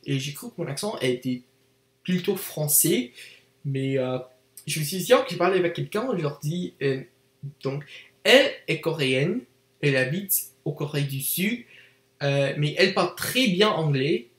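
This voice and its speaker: A man's voice: fairly high pitch, expressive, moderate pace, a bit bright, reverberant. A young man from Canada, a native English speaker, talking to camera in hesitant learner's French with an anglophone accent, full of fillers and self-corrections. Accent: English-speaking Canadian learner of French, with some Quebec French. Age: young adult. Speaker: vlogger. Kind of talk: unscripted casual monologue to camera. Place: small indoor room, seated in desk chair.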